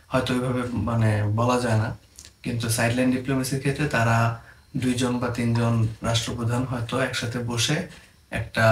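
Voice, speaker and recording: Man, Bangladeshi accent, informal explainer, English terms mixed in, little pitch variation, faint background noise. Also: reverberant. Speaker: presenter explaining diplomatic terms.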